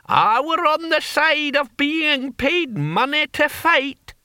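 A man voicing a half-deaf, cackling ancient barbarian in an audiobook, loud and gleeful. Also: extremely high pitch, lively intonation, slow, no room sound, clean studio sound, voice pushed up, heavily acted.

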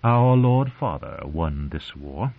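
A haughty queen mother, acted out by a man, arch and sharp-tongued under a sweet surface. The voice lifts above the narrator's own in this fantasy audiobook, and the audio is thin, like a telephone line. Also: rumbling low, sing-song, slow, vocal fry, full character voice. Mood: poisonous sweetness. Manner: arch, argumentative. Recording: narrow, phone-like lo-fi audio.

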